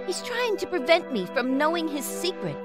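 A woman's voice: extremely high pitch, very animated, normal speed. A woman voicing an earnest young princess in a fairy tale cartoon, anxious and melodramatic. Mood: troubled, insistent. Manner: melodramatic, pleading. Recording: music behind the voice. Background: music.

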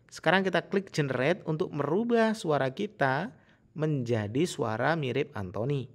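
A man giving a casual, informal software tutorial in an explanatory way. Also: a little high, animated, moderate pace. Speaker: tutorial host.

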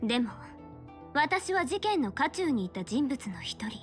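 An actress playing a stern young fortune-teller official in a game, speaking in a formal, measured, authoritative way. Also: female voice, high voice, big pitch swings, faint music behind. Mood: serious, measured. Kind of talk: scripted game character dialogue in Japanese dub.

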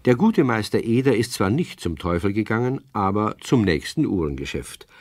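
A man narrating a children's radio play as an expressive storyteller, reading from a script. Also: fairly low pitch, moderate pace, slight background noise.

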